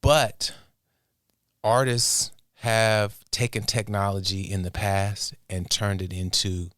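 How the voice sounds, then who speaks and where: Low-voiced man, thoughtful interview guest. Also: level tone, slow pace, a bit bright, dry recording, clean studio sound. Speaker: interview guest, music producer and inventor. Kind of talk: thoughtful conversational interview answers.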